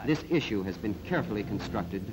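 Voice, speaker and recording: A man reading measured, explanatory scripted commentary over a 1940s archive newsreel soundtrack. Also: lowish voice, animated, music in the background, low-quality recording. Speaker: translator and analyst narrator.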